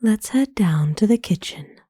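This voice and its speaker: A woman playing a kind, doting vampire in an ASMR roleplay, speaking in a gentle, formal, soothing way, mostly in whispers. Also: mid-range voice, lively intonation, unhurried, husky, no room sound, clean studio sound. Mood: caring. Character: kind, doting female vampire owner of a human.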